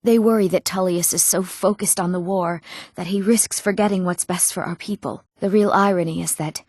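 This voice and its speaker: A woman acting an eager, earnest young woman in a fantasy video game, speaking her lines clearly and a little theatrically. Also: fairly low pitch, a little mellow, dry recording, clean studio sound. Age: young adult. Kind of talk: scripted fantasy game dialogue.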